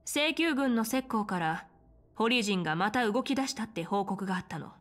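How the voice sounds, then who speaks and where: An actress playing a confident female military general in a fantasy game, speaking in an assertive, measured and frank manner. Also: medium pitch, expressive, soft background music, lightly acted. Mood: grave. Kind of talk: dramatic Japanese game voice acting.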